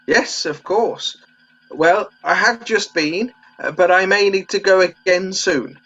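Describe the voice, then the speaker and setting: A man playing a gentle, doddery, forgetful old medical officer in an amateur comedy radio play. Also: high-pitched, slow, highs cut off, faint music behind, heavily acted. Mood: mild, doddery.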